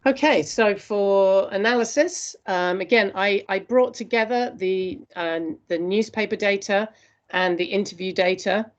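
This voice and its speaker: A woman presenting her postgraduate dissertation research in an earnest, steady academic talk, with frequent hesitations. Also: medium pitch, measured pace, lo-fi sound.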